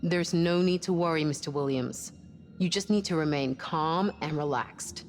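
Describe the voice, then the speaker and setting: An actress as a ship's doctor with a low female voice, steady and reassuring, with a teasing streak. Sci-fi game drama, ringing with long reverb over faint music. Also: moderate pace, close to an everyday voice. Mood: calm, reassuring. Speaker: actor in a video game. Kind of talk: cinematic sci-fi game acting.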